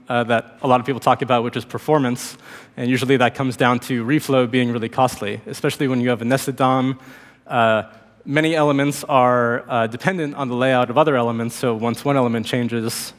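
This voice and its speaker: A man's voice: mid-range voice, brisk. Man with a cold speaking in a hall. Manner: relaxed, many fillers and restarts. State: has a cold, slightly hoarse. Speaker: conference speaker. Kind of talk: technical conference talk. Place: large conference hall stage. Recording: reverberant hall.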